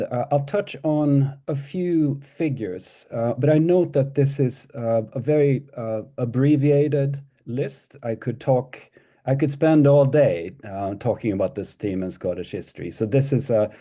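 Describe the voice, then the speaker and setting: A man's voice: mid-range voice, mellow. A middle-aged Swedish-born man giving an academic talk over a video call, hesitant, with many ums and restarts. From Sweden. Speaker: guest lecturer.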